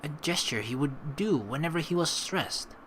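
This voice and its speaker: A man's voice: a little high, animated, normal speed, fairly bright tone, slight background noise, low-quality recording. A young man hosting a let's play, reading game narration aloud and adding casual commentary.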